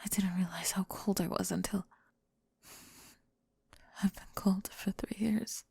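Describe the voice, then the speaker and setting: A woman playing a remorseful, vulnerable young woman in an intimate emotional ASMR roleplay, speaking haltingly and tenderly in a breathy, often whispered voice, close to the mic. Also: mid-range voice, fairly even intonation, darkish tone, no echo, full character voice. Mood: vulnerable, hushed. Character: remorseful, vulnerable young woman confessing to someone she rejected. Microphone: close, dry microphone.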